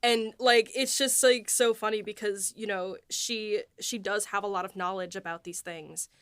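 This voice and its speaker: A woman co-hosting a podcast, chatting casually and laughing, with casual swearing and hushed retellings of scenes. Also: medium pitch, steady tone, normal speed, clear, resonant tone, no room sound, studio quality. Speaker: writer and podcast co-host. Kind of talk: casual behind-the-scenes chat.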